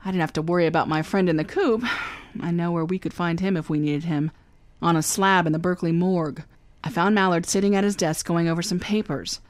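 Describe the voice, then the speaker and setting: A woman's voice: fairly low pitch, brisk, no echo, lightly acted. An actress playing a confident, wisecracking female private eye in a radio drama, breezy in tone. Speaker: actor. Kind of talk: old-time radio drama acting.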